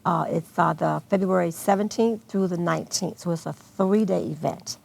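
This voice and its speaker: Low, gravelly American woman. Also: normal speed, darkish tone. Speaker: guest, arts festival organizer. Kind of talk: television interview, unscripted answers.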